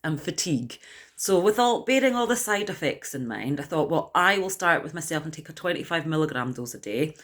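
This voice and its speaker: A woman in her forties with a naturally deep, low voice. She chats straight to her viewers in a loose, rambling vlog manner, restarting sentences and filling gaps with "um" and "you know". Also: expressive, a little fast, fairly bright tone. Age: 40s. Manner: chatty and rambling, with many fillers and restarts. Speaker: wellness vlogger. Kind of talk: casual talk to camera.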